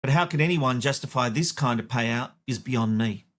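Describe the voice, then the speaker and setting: A man's voice: mid-range voice, normal speed, noisy background. A man with an Australian accent hosting a media commentary show, wry and opinionated. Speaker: host.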